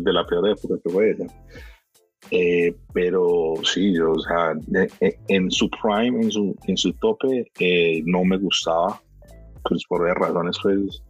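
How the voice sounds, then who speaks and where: A man's voice: deep voice, slightly rough, poor audio. A man chatting casually in a laid-back, slangy way, often hesitating and restarting. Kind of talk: casual chat.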